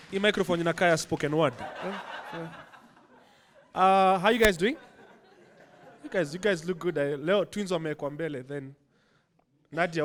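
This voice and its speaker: A Kenyan man doing stand-up, chatting loosely with the crowd on a club stage. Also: a little high. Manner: conversational, crowd-teasing, switching English and Swahili. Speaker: stand-up comedian. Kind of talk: stand-up set. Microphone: handheld stage mic.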